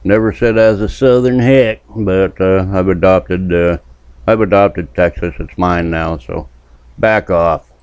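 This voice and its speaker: Man from New Hampshire, deep voice, sardonic commentary host, muffled dry recording. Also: steady tone, darkish tone, clear, resonant tone, no echo. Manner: dry, sardonic, drawn-out remarks. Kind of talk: casual reaction commentary.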